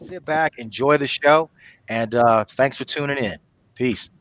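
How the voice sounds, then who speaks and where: A man's voice: medium pitch, measured pace, a bit bright. A man hosting and narrating a script reading in a casual, conversational way. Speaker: host and narrator.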